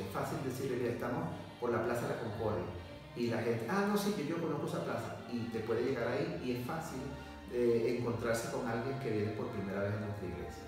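Venezuelan man in his forties, roomy and distant. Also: mid-range voice. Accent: Venezuelan Spanish. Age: 40s. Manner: measured, hesitant with many fillers, gesturing. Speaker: pastor and missionary, former doctor. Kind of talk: testimony interview. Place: indoor room, seated on sofa. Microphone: far camera. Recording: echoey room from a distance, music behind.

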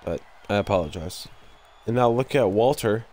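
A young man with a stuffy nose doing excitable play-by-play commentary over a wrestling video game, breaking into loud exclamations, recorded at home on a desk mic. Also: lowish voice, moderate pace, some chatter behind. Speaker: gameplay commentator.

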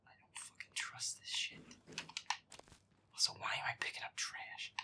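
A man giving irritable, foul-mouthed gameplay commentary in a breathy, often whispered mutter. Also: moderate pace. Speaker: gamer playing a horror game in character.

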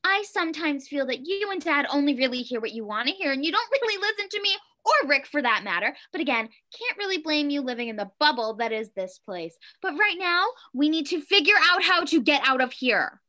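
A woman's voice: high-pitched, sing-song, a little fast, hardly any pauses, bright, forceful tone, full character voice. A woman in her twenties playing an exasperated, sarcastic daughter held captive, in a play reading over a video call. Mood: irritated. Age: 20s. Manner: sarcastic, foul-mouthed, pleading. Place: home kitchen, indoors.

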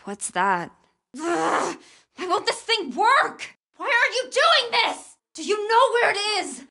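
An actress voicing a guarded, sarcastic, distressed young American woman with powers in a video game, speaking in a breathy, emotionally expressive way. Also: very high-pitched, slow pace, full character voice. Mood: skeptical, hesitant, then frustrated, straining. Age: young adult.

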